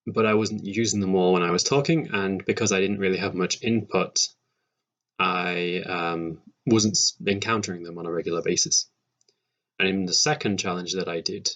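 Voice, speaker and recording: A young man speaking hesitantly and in a measured way in an informal introduction to camera at home. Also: low-pitched, fairly bright tone. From Sheffield, England. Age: young adult. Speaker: language learner. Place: home room with bookshelves, indoors. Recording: dull, lo-fi webcam sound.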